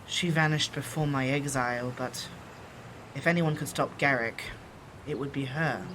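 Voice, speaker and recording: A man's voice: medium pitch, sharp tone, close to an everyday voice. A voice actor, a man, playing a solemn, loyal exiled shapeshifter who speaks formally and earnestly in an audio drama. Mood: grave admiration. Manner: formal, measured, earnest speech. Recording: clear background noise and ambience.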